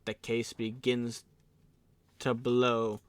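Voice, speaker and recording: A man's voice: medium pitch, completely flat delivery, drawn-out words, no room sound. A man narrating an audio drama in a theatrical announcer style, slipping into offhand comic asides. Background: music.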